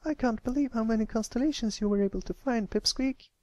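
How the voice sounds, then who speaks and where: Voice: medium pitch, fast, a little mellow, dry recording, pitched above the performer's own voice, close to an everyday voice. A reader voicing a sweet, admiring young filly in a story, with a chirpy manner. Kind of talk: acted fan fiction reading.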